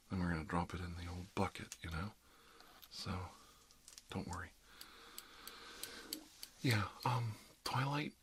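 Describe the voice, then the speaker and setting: A man's voice: sits low, a bit bright, slightly rough. A man doing an ASMR roleplay as a dentist, rambling casually and wryly while reassuring his patient. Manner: wry, conversational, reassuring patter to a patient. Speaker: ASMR performer playing a dentist.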